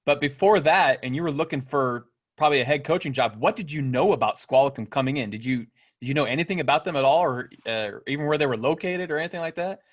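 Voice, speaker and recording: Enthusiastic forty-something podcast co-host. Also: male voice, on the high side, fast talker. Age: 40s. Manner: enthusiastic, effusive, praising. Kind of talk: casual podcast interview. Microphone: video call.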